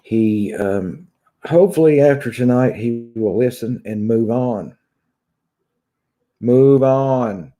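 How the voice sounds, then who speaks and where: A man in his thirties with a long Southern drawl from Kentucky talks casually to his online viewers. His voice is very dark and mellow, and he sounds conversational, like someone venting from a room at home. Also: mid-range voice, slow pace, pauses often. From Kentucky, United States. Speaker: YouTube vlogger. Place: small room at home, indoors.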